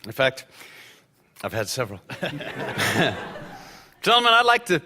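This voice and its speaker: An actor playing a slightly drunk lawyer who rambles wryly while offering a toast in a radio drama. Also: male voice, fairly high pitch, wildly swooping pitch, unhurried, bright, forceful tone, heavily acted. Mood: tipsy. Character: tipsy, candid man, a newspaper's lawyer. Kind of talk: radio play acting.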